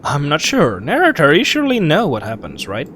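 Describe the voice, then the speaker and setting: A man's voice: fairly high pitch, huge pitch swings, fairly quick, noisy background. A man giving casual, playful game commentary, reacting in short murmured remarks on a close mic. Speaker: gaming video host.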